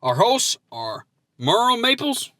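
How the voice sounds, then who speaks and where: A man's voice: extremely high pitch, sing-song, slow pace, bright, forceful tone, no echo. A man hosting a comedy podcast in casual banter, with a folksy, rambling, playfully naive way of talking.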